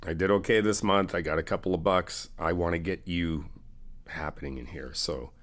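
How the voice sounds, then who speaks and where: A man's voice: sits low, fairly even intonation, normal speed, a bit bright. A man playing an easygoing, helpful friend in an ASMR roleplay, talking in a casual, reassuring, conversational way. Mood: teasing, friendly. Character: easygoing, helpful male friend helping someone move in.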